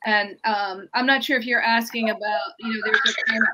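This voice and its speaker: A woman's voice: on the high side, steady tone, brisk, background noise. A woman academic answering interview questions on a video call, explaining fluently and thinking aloud as she goes. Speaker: author being interviewed.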